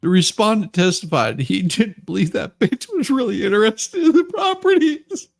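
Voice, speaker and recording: A woman's voice: medium pitch, sing-song, normal speed, gravelly. A woman voicing a folksy, sassy Southern courtroom witness in a theatrical, stammering comedic reading. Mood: indignant.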